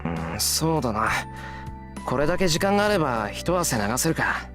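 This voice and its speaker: A man's voice: on the high side, very animated, fast talker. An actor playing a plain-spoken, earnest young male hero in a visual novel. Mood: thoughtful. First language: Japanese. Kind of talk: Japanese visual novel voice acting. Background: loud game music.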